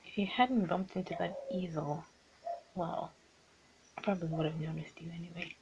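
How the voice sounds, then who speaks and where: A woman's voice: fairly low pitch, fairly even intonation, normal speed, dull audio, natural voice. Woman acting as teasing young art student, noisy recording. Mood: sly teasing. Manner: coy, teasing, half-whispered delivery. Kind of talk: improvised ASMR roleplay acting.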